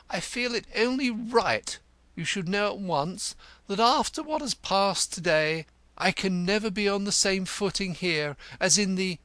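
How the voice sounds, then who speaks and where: A man's voice: sits high, bright, forceful tone, no room sound, voice pushed up, full character voice. A man voicing a pompous, self-important male poet in an audiobook, speaking in a florid, easily offended way. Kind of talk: audiobook character voice.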